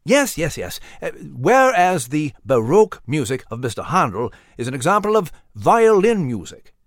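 A fussy, learned mouse from a children's tale, played by a man. He speaks in animated swoops with a pompous, formal manner, recorded close and dry. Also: fairly high pitch, normal speed, dry recording. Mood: eager, lecturing. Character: pompous, scholarly British gentleman mouse, fussy and excitable. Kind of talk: animated children's storybook character reading.

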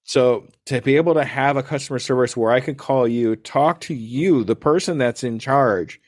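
A man's voice: medium pitch, moderate pace, no room sound. Chatty male podcast host, dry sound. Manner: chatty, rambling, restarts and laughs. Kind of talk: casual interview chat.

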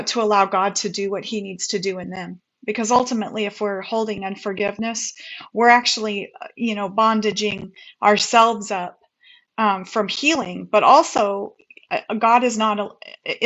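A woman's voice: mid-range voice, fairly even intonation, normal speed, fairly bright tone, dull audio. A woman guest on a faith chat, quoting scripture and speaking with hesitations. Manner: hesitant, many fillers and restarts, quotes scripture. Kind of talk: faith-based casual discussion.

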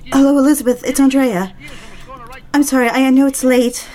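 A woman's voice: high voice, steady tone, moderate pace, darkish tone, lightly acted. An actress playing an anxious, apologetic woman in an audio drama, speaking in a hesitant, urgent way. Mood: flustered. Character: anxious, apologetic, pleading young woman, Andrea.

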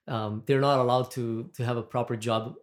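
A man's voice: mid-range voice, fairly even intonation, normal speed. A man from Brazil with a Brazilian accent, a podcast guest in casual chat who rambles and restarts as he talks. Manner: chatty, rambling, with frequent restarts and laughs.

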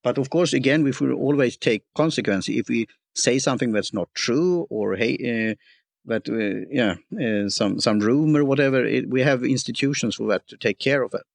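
Male host, gravelly, halting, dry sound. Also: medium pitch, no room sound. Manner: halting, searching for words, many uhs. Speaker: talk show host. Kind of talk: casual interview chat.

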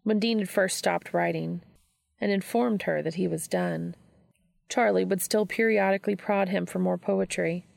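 A woman's voice: medium pitch, steady tone, normal speed, creaky, a little mellow, no echo. A woman reading a story aloud as scripted narration. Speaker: audiobook reader of fan fiction.

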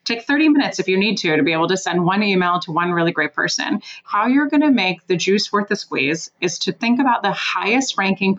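A woman originally from Switzerland, a sales consultant guest giving emphatic, animated advice in an interview, with some casual swearing. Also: medium pitch, muffled recording.

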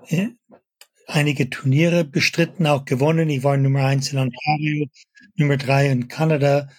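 Older guest from Canada; husky, a touch high, halting and hesitant, dry room. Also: male voice, no echo. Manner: halting, with many fillers and pauses. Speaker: interview guest, fitness industry veteran. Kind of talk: casual interview talk.

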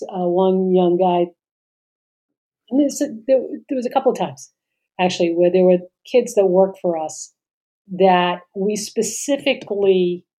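A middle-aged woman with a mellow, fairly low voice co-hosts a podcast. She talks casually and haltingly, trails off, and fills gaps with "uh" and "you know". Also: low-quality recording. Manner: hesitant, trailing off, many fillers. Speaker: podcast co-host.

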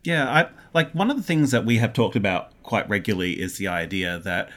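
An Australian man talks as a guest in a relaxed interview chat. His voice sits a little low, and he speaks in an animated, conversational way. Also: a little fast. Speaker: interview guest, audio drama producer.

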